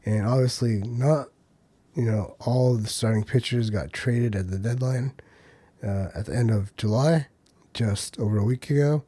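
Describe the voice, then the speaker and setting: Mellow-voiced man hosting a podcast. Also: mid-range voice, normal speed, breathy edge, dry recording. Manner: loose and conversational, many ums and uhs, hedging asides. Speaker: podcast host. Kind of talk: solo casual commentary.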